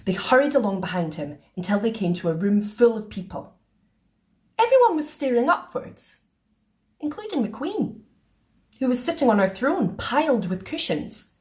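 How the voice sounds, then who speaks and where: A woman reading a children's picture book aloud in a playful, expressive way. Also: on the high side, moderate pace. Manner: expressive, sing-song. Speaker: storyteller.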